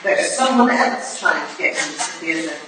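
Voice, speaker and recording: A woman's voice: low-pitched, lively intonation, moderate pace. A woman playing a shrewd, formal older female detective in a staged reading of a Victorian drama, with a clipped, imperious manner. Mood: coolly analytical. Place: live staged reading in a roomy indoor venue. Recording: echoey hall from far off, noisy.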